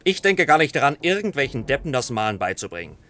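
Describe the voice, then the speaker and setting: A man's voice: mid-range voice, big pitch swings, fast, pushed and bright, slight background noise. An actor playing a sardonic, insistent male friend in a German radio play, speaking in an urbane, teasing way.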